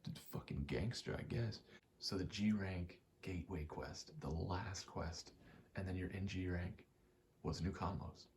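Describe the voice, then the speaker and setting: A soft-spoken man giving rambling ASMR game commentary, halting and casually profane, often slipping into a whisper. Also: low-pitched, a little hiss or hum. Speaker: gaming video creator.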